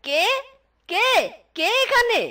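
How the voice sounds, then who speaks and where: A woman's voice: extremely high pitch, expressive, takes it slowly, pushed and bright, heavily acted. A woman voicing a bumbling, boastful blind young man in a cartoon, bragging and swearing. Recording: cartoon music behind.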